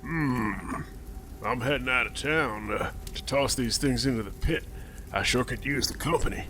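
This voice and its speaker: A man's voice: medium pitch, moderate pace, sharp tone, lightly acted. A man playing a crude, menacing militia captain with a smarmy, folksy drawl in an amateur audio drama. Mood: leering, sleazy. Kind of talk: audio drama script reading. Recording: noisy home recording.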